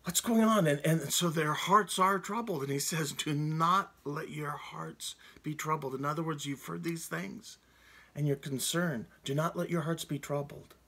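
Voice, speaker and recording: A man's voice: fairly high pitch, steady tone, normal speed, sharp tone, slightly rough. A middle-aged man preaching a sermon from a room at home into a webcam, earnest and often restarting his words. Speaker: pastor. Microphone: webcam, seated close.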